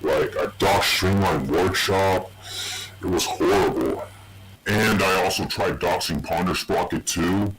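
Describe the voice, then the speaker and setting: A man rambling casually and swearing heavily through a low voice changer, recorded on a loud, distorted microphone. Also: unhurried, husky, soft background music. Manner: heavy swearing. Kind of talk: rambling casual personal monologue.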